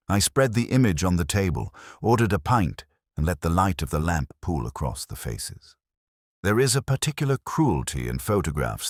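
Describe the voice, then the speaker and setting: A middle-aged man reading a scripted first-person detective story in a measured, reflective, literary way. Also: rumbling low, husky, no room sound, clean studio sound. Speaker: audiobook-style narrator of a detective story.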